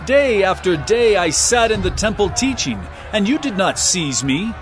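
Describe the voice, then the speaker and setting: Solemn commanding teacher, raised pitch, emphatic declamation, audio Bible drama, music behind. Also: male voice, lively intonation, normal speed, a crowd in the background. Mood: indignant, commanding. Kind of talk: dramatized scripture acting.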